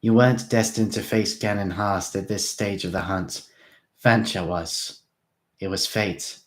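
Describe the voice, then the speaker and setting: A man voicing a calm, firm female vampire who speaks without hurry. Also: deep voice, unhurried.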